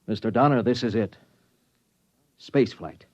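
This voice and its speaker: An actor playing an earnest, awestruck engineer, eager and hesitant, in 1950s radio drama style. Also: male voice, medium pitch, unhurried, a little mellow, dry recording, lightly acted. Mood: excited. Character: earnest, awestruck male engineer, technically minded. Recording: dull vintage radio recording.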